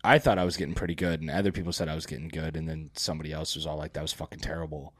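A man's voice: very deep voice, level tone, racing, husky, no echo, studio quality. A man co-hosting a podcast, chatting in a loose, rambling way with plenty of fillers and swearing. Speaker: podcast co-host. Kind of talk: casual chat.

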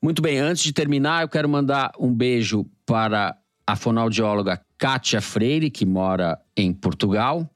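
A middle-aged Brazilian man hosting a political podcast from home, casually sending greetings and reading the closing credits at a quick clip. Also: medium pitch, lively intonation, no echo, clean studio sound. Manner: reads credits quickly, joking sign-off. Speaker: podcast host. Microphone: remote home mic.

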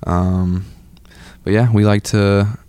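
A man's voice: fairly low pitch, level tone, slow, dark tone, clean tone, no room sound. A man in his twenties from Atlanta, a musician guest chatting loosely with lots of filler words. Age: 20s. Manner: laid-back, lots of "like" and "um". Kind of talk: casual interview chat.

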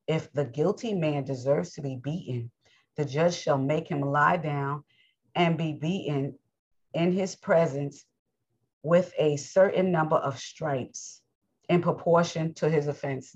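Woman scripture teacher, low and husky, strained voice, emphatic sermon delivery, muffled recording. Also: darkish tone. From United States. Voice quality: raspy. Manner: emphatic, preachy, clears throat often. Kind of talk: bible lesson with commentary.

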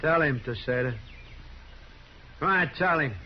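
Male actor as menacing frontier outlaw, raised pitch, taunting; muffled vintage radio drama. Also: measured pace, close to an everyday voice. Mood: threatening. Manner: threatening, taunting. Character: menacing, cowardly outlaw holding a young woman. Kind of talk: 1950s radio drama acting. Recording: muffled, noisy 1950s radio recording.

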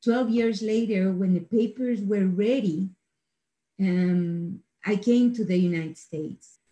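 A woman from Ecuador, telling her story in an interview in a halting, self-correcting way. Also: medium pitch, warm tone, dull audio. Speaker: guest, financial education instructor.

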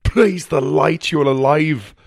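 A man voicing an earnest male mentor and ship healer in an adventure audio story, speaking gravely and emphatically. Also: on the low side, lively intonation, moderate pace, dry recording, clean studio sound, close to an everyday voice. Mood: jubilant, relieved.

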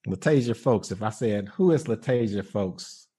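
Deep-voiced upbeat podcast host, man. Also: animated, moderate pace, mellow, husky. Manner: friendly, upbeat. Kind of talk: casual interview.

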